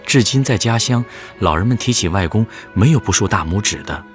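A fond grandson played by a man narrates a radio drama with reverence. His voice is low and moves expressively, with music clearly behind it. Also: expressive, brisk, lightly acted. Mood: reverent, solemn.

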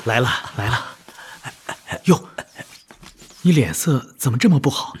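A loyal, solicitous soldier in a radio drama. His voice is very husky and mid-pitched, rising and falling widely as he frets over his comrade. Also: lively intonation, measured pace. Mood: concerned. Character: loyal army officer, anxious, warm younger brother-in-arms. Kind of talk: broadcast radio drama acting.

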